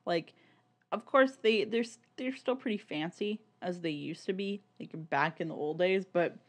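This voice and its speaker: A woman's voice: lowish voice, normal speed. A woman co-hosting a podcast in casual, sweary chat, peppering her sentences with "like". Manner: informal, sweary, peppers speech with "like". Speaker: podcast co-host.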